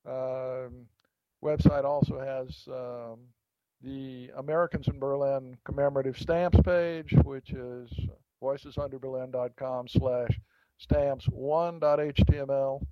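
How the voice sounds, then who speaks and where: A man, an author, being interviewed, telling an anecdote in a reflective way with pauses and restarts. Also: mid-range voice, steady tone, no room sound, highs cut off. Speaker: author guest. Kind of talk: interview storytelling.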